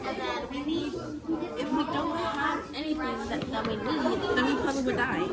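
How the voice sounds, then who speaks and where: A woman's voice: sits high, normal speed. A young girl presenting in class, rambling with lots of "so basically" and "like". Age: child. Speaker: student presenter. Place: large indoor hall. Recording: crowd chatter and noise behind.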